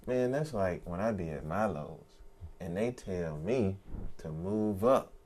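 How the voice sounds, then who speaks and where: A young man co-hosting a TV review, chatting casually in slangy, animated talk and acting out characters' lines. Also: deep voice, a little slow, slight background noise. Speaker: co-host of a TV review show. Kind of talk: casual chat.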